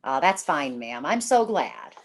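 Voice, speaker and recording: A woman's voice: low voice, big pitch swings, measured pace, exaggerated voice. A woman playing an eager, deferential and slightly timid housemaid in an old-time radio drama, with a hesitant manner. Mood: eager, pleased.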